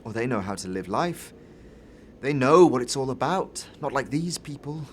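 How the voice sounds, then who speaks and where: A man's voice: medium pitch, expressive, normal speed, a little hiss or hum, lightly acted. A man playing a nervous, bored young fellow with a hesitant, stammering delivery in a fantasy audio drama. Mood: wry, disdainful. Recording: long reverb, music behind.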